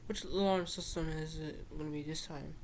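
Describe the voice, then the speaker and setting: A man's voice: a little high, fairly even intonation, normal speed. A man giving casual, off-the-cuff commentary as the host of a reaction video. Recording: very noisy home recording.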